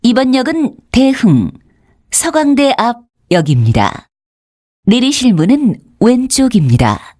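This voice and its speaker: A woman's voice: fairly high pitch, sing-song, takes it slowly, mellow, no room sound, clean studio sound. A woman reading scripted station announcements as a subway announcer.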